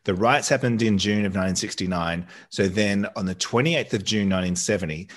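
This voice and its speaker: A low-pitched man from Australia co-hosts a chatty podcast. He jokes in a dry, teasing way and also reads out sponsor spots and history in an easy conversational tone. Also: fairly quick. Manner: dry teasing humor, relaxed storytelling. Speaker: podcast co-host. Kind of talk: casual podcast chat.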